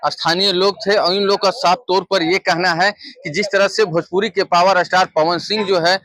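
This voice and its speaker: Male street reporter, higher-than-average pitch, dry sound, wordy repetitive delivery. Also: fairly even intonation, moderate pace, bright, forceful tone, no echo. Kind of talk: vox pop interviewing and reporting.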